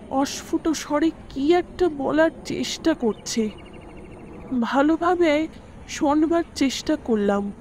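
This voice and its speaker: A woman's voice: high-pitched, darkish tone, a little hiss or hum. A woman playing an anxious mother in an audio drama, recounting eerie events in an earnest, dramatic way. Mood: uneasy, apologetic. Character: worried mother recounting eerie deaths around her son. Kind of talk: Bengali audio story acting.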